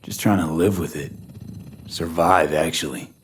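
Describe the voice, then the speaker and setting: A deep-voiced, weary young mercenary man. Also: unhurried, slight background noise. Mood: grim, weary. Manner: terse, understated, clipped sentences. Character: weary, guarded young male mercenary, stubbornly downplaying trouble. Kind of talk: naturalistic video game acting.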